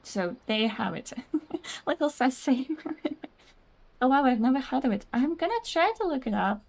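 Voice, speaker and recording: A woman's voice: high-pitched, animated, normal speed, creaky, a little mellow. A young woman whose first language is Russian, chatting casually while streaming a game, rambling with many "like"s, reading out chat messages and laughing often.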